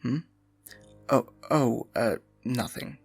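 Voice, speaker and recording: A man's voice: medium pitch, slow pace, creaky, slightly rough, soft background music, heavily acted. A man playing a scheming royal advisor in a fantasy audio roleplay, silky, condescending and falsely sympathetic, often dropping to a murmur. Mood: sly, playful. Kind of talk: fantasy ASMR roleplay acting, intimate and theatrical.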